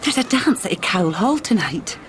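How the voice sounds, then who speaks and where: An actress in a radio drama playing a friendly, curious island widow with a Scottish accent, musing and gently teasing. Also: female voice, mid-range voice, big pitch swings, normal speed, noisy background, lightly acted.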